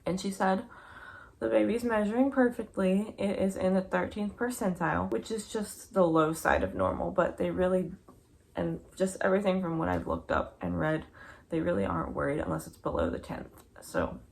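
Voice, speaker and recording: A young woman in a casual, chatty vlog update, her voice tired and croaky from just waking up, rambling with lots of fillers. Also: lowish voice, lively intonation, normal speed, slight background noise. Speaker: vlogger.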